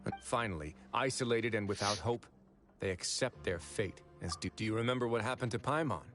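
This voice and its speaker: An actor playing a composed, brooding investigator in a video game, speaking in a measured, formal and serious way. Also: male voice, on the low side, a bit bright, lightly acted. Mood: grave, reflective. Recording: game music behind the voice. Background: game music.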